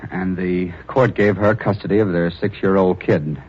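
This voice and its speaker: A deep-voiced police reporter. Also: male voice, moderate pace, husky. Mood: steady. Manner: steady storytelling narration. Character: young male police reporter narrating the case. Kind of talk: 1940s radio acting. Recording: dull lo-fi old radio recording.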